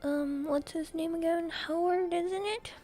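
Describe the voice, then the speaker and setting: A woman's voice: very high-pitched, fairly even intonation, unhurried, dark tone, soft background music, pitched above the performer's own voice. A woman voicing a timid middle-aged husband in a storybook, nervous, hesitant and mumbling. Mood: hesitant, casual.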